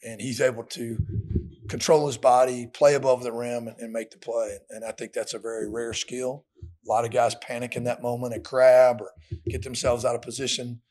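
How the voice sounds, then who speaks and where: A man's voice: medium pitch, little pitch movement, moderate pace, a little mellow. Plain-spoken American football coach, middle-aged man. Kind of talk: post-game press conference answers. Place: indoor press room at a podium. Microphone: podium microphones.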